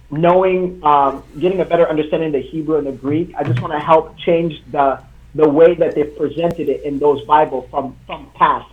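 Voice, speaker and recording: A young man from Congo, a native French speaker, talking as a guest author on a video call, earnest and halting. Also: a little high. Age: young adult. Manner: earnest, halting, many fillers and restarts. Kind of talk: live online interview. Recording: tinny video call line.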